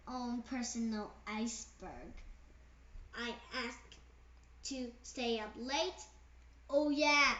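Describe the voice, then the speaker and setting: Casting: woman as a jealous storybook pigeon, dramatic and whiny, very high, roomy sound. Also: bright, forceful tone. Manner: dramatic, indignant, whiny ranting. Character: excitable, jealous, pleading pigeon from a picture book. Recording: roomy echo, slightly dull sound.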